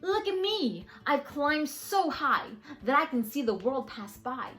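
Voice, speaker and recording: A woman as bright-voiced bashful slug in love. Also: sits high, very animated, measured pace, soft background music, exaggerated voice. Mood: longing.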